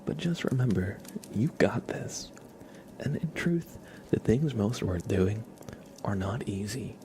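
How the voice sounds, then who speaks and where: Low, hushed man doing slow ASMR. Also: animated, a little hiss or hum. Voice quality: soft, hushed, often whispered. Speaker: ASMR livestream host. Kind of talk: ASMR, soft-spoken live stream with whispering.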